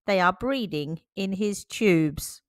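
Brisk doggy doctor explaining germs, as a lady reads him, slow and sing-song. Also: medium pitch, lively intonation, dry recording, studio quality. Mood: lecturing. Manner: firm and explanatory. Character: brisk, no-nonsense male dog doctor.

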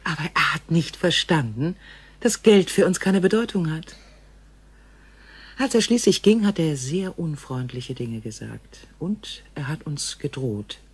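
Woman playing a solemn, husky female alien visitor. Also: low voice, animated, full character voice. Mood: grave, worried. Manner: formal, measured, solemn phrasing. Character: grave, gentle female alien visitor from another star. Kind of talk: science fiction radio play acting.

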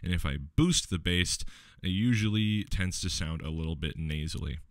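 A man with a deep, low voice walking viewers through a software tutorial step by step, relaxed, with the odd filler. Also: normal speed, dry recording, studio quality. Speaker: tech tutorial host. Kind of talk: screen-recorded software tutorial.

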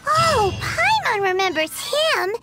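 A woman voicing a tiny, childlike fairy companion in a video game, with an excitable, squeaky manner. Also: slow, heavy background noise, full character voice. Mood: curious, excited. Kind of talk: video game character dialogue.

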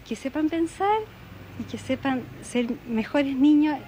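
A Chilean woman speaks in a fairly high voice into a handheld interview microphone. She explains things to her interviewer as an organizer would, checking for agreement as she goes. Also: unhurried, mellow, slight background noise. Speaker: book fair coordinator being interviewed. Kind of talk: on-location TV interview. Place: indoor book fair stall.

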